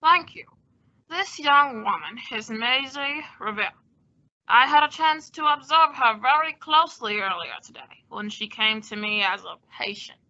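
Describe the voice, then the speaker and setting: A condescending, scheming doctor acted by a woman in an old-time radio comedy. The voice is high and extremely bright, with a pompous, patronizing air. The recording is slightly dull. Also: expressive, a little slow, heavily acted. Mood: smoothly assured. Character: smooth, scheming phony doctor, condescending and slippery.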